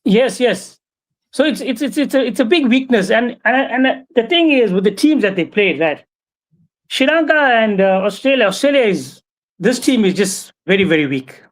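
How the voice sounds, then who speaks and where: High pitched guy, guest on a cricket chat, measured, says right and you know a lot. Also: animated. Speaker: guest pundit. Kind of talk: casual cricket analysis.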